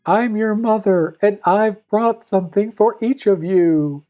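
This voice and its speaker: A cunning wolf wheedling at a cottage door in a bedtime fairy tale, swinging from low growl to sugary high coaxing , acted out by a woman reader. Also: unhurried, dark tone, faint music behind, lightly acted. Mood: falsely sweet. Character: hungry deceitful male wolf, gruff, then falsely sweet.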